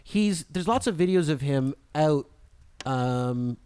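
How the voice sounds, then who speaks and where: A man's voice: on the high side, animated, slow, mellow, clean tone. A man co-hosting a podcast, chatting casually about films and celebrities. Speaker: podcast co-host.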